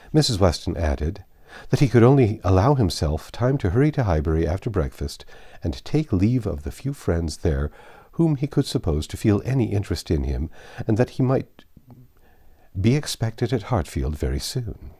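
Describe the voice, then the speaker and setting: Deep voiced guy reading an old novel out loud, measured and formal, really relaxed dark tone. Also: slightly rough. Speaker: audiobook narrator. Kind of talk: expressive literary reading aloud.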